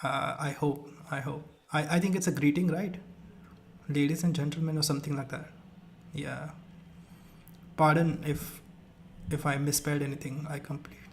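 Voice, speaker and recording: A man's voice: fairly high pitch, flat delivery, moderate pace, gravelly, long pauses, slight background noise. A young man with an Indian accent, whose first language is Rajasthani, hosting a live stream in casual chat, hesitant with many fillers and restarts.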